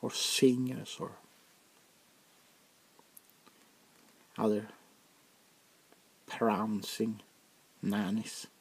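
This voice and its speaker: A man playing an arrogant, power-hungry vampire prince in a soft-spoken ASMR roleplay, with haughty dramatic pauses and a gravelly voice that often sinks into whispers. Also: fairly low pitch, fairly even intonation, heavily acted, low-quality recording. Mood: scornful, mocking.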